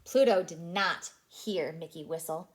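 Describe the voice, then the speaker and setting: A woman reading a story aloud to young children as a childcare storyteller, expressive and animated. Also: on the low side, unhurried. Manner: animated, asks listeners questions.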